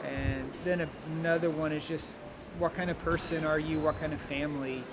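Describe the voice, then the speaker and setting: A man's voice: fairly high pitch, steady tone, moderate pace. Man coaching, noisy outdoor street. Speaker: college golf coach. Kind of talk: interview answers.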